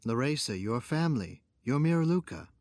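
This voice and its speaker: Man acting a young big brother with animated pitch. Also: fairly quick, no echo, clean studio sound, lightly acted. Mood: gentle, reassuring. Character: caring Jedi older brother, young, gently wise. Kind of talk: audio drama acting.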